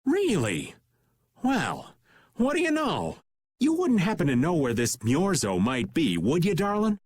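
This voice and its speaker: Swooping, jokey older rogue. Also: male voice, a little high, wildly swooping pitch, a little slow, breathy edge, dry recording, clean studio sound, heavily acted. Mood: wry. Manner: slangy, theatrical, jokey. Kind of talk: video game cutscene acting.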